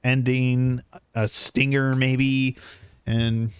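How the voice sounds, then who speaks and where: A man's voice: mid-range voice, level tone, extremely slow, clear, resonant tone, no echo. A man chatting casually and informally on a game livestream, rambling from one thought to the next. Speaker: livestreamer playing a video game. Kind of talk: casual stream chat. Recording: music playing behind the voice.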